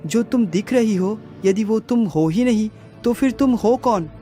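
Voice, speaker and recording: A man's voice: high-pitched, measured pace, mellow, faint music behind. A man voicing a curious young prince in a cartoon, with a wondering, inquisitive manner. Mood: puzzled. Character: young prince, curious, good-natured traveller. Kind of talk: Hindi moral-story cartoon acting.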